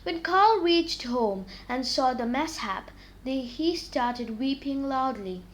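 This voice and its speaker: A woman with a high voice reads stories aloud for children. Her delivery is scripted and deliberate, with expressive emphasis suited to simple moral tales. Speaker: children's story reader.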